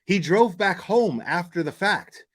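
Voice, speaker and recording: Halting, emphatic man commenting on a livestream. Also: high voice, expressive. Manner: halting, repeats words and phrases; emphatic, opinionated, blunt with profanity. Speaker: livestream host. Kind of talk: casual true-crime livestream commentary.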